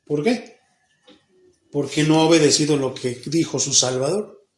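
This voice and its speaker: A Mexican pastor with a fairly high voice leads a Bible study in a church hall where his words ring off the walls. His Mexican Spanish accent is plain, and he fires questions at the congregation, then answers them himself. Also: moderate pace. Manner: acts out the people he quotes, even mock babbling.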